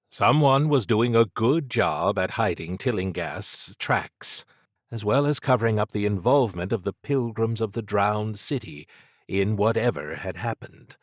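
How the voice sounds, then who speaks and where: A man's voice: lowish voice, no room sound, clean studio sound. A man narrating scripted fiction as an audiobook reader.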